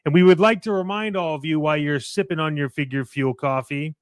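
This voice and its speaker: A man with a slightly high, smooth voice works as a podcast host. He talks conversationally with a salesman's push, sliding between banter and upbeat ad reads. The sound is close and dry. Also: steady tone, brisk, dry recording. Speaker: podcast and radio show host.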